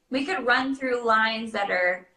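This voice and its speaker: A woman's voice: fairly high pitch, slow pace, bright, forceful tone. A young adult woman chatting casually as a host and answering questions. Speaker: host, princess party performer. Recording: roomy, echoing sound.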